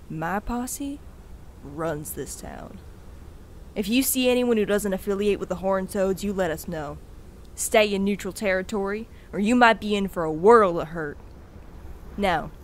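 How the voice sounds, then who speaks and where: Woman playing bossy cowboy gang leader, folksy swagger, medium pitch, western audio drama. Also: lightly acted.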